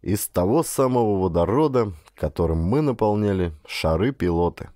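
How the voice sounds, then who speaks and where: A man reading a scripted first-person story as an audiobook narrator, with an actor's expressive delivery. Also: lowish voice, moderate pace, slight background noise.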